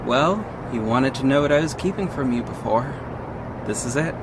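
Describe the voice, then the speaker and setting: A man's voice: medium pitch, expressive, normal speed, background noise, lightly acted. A man playing a confident vigilante detective who narrates his own story in an earnest, dramatic audio drama style. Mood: frank, explaining. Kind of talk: first-person audio drama narration with acted dialogue.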